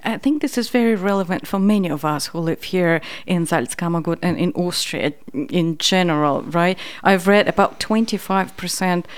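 A woman's voice: lowish voice, moderate pace, hardly any pauses, smooth. A woman hosting a radio interview in a studio, formally introducing her guest and asking questions. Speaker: radio host.

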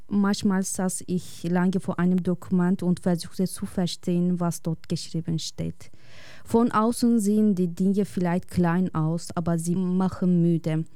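A woman speaking as a radio guest, reading a prepared personal essay in a calm, reflective and measured way. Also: on the low side, smooth, no room sound, studio quality.

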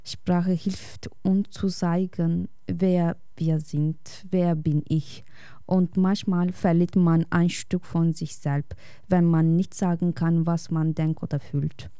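A woman reads a prepared personal essay on air, calm and reflective, taking her time over every phrase. Her voice is warm and smooth. The sound is close and dry. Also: on the low side, takes it slowly, no room sound. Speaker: radio guest.

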